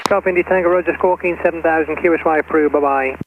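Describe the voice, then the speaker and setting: Male air traffic controller, rapid clipped delivery, courteous, thin radio band, clear background noise. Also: a little high, steady tone, narrow, tinny audio. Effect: air traffic radio transmission. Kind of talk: rapid procedural radio instructions.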